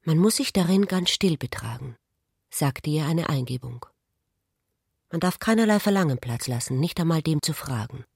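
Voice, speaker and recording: A woman reading literary narration in a measured, contemplative manner for a radio play. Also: low voice, expressive, creaky, a little mellow, breathy edge, no echo, studio quality.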